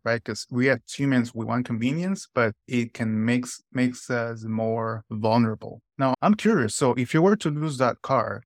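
A man's voice: mid-range voice, no room sound, clean studio sound. A man hosting a podcast, talking earnestly in short phrases with some restarts. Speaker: podcast host. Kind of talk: podcast intro and casual interview.

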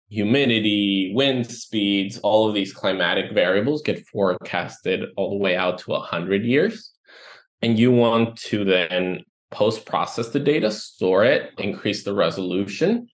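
Low-voiced man from Ecuador, explaining. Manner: explanatory, lists items, some restarts. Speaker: guest, company co-founder and CTO. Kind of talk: podcast interview answers.

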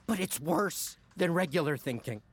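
An actor playing a crass, self-interested office best friend in a comedic audio drama, blunt, slangy and exasperated. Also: male voice, a little high, expressive, moderate pace, slightly rough.

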